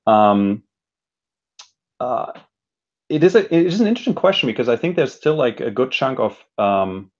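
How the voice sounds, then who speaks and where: A man's voice: mid-range voice, sing-song, normal speed, long pauses, muffled recording. A man speaking as an interview guest, explaining ideas conversationally and often checking in with "right?". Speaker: podcast guest, entrepreneurship expert.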